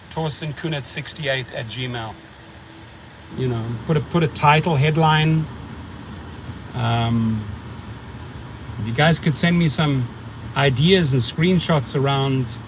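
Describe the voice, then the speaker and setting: A middle-aged man with a South African accent talks in a loose, rambling way, blunt and quick to repeat words as he works out his thoughts. His voice sits a little high for a man. A faint air-conditioning hum runs behind him. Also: low-quality recording. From United States. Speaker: channel host. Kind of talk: casual livestream chat.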